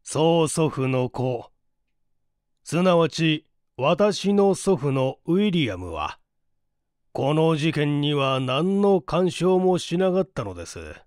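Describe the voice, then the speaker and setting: A man voicing a polite male client recounting a family scandal in measured storytelling, in an audiobook mystery. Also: on the high side, big pitch swings, a little mellow, no echo, clean studio sound.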